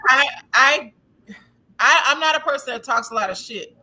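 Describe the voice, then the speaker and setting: Female, American, sharp bright tone, chatty and giggly, recapping like gossip among friends. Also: sits high, normal speed, rough recording. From United States. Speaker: online video channel creator. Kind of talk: casual chat, gossipy recapping.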